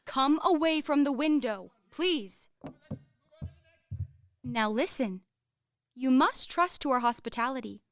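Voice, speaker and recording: Haughty romantic young heiress for radio play, female actor: high voice, declamatory, earnest, proud, dry close recording. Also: animated, takes it slowly, pauses often, no room sound, heavily acted.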